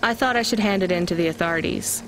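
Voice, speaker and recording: A woman's voice: lowish voice, fast talker, soft background music, lightly acted. A woman playing a young courier driver in a video game, with a dry, skeptical, understated delivery. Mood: awkward. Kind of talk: naturalistic scripted game acting.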